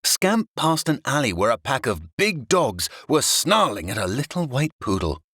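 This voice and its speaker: Wildly animated male storyteller. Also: medium pitch, moderate pace, husky, no echo, clean studio sound. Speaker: storybook narrator. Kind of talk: scripted children's story narration.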